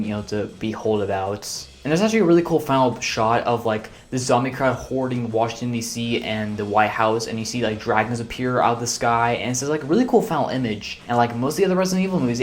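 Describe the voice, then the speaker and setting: Young male film reviewer, rapid, sarcastic and blunt, mid-pitched. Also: slight background noise. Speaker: movie reviewer. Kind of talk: casual ranking commentary.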